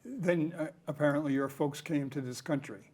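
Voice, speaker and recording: An elderly man in his eighties hosts a relaxed interview with a friend. He talks conversationally in a slightly raised voice and breaks in with follow-up questions. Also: fairly high pitch, normal speed. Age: 80s. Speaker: host. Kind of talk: casual interview.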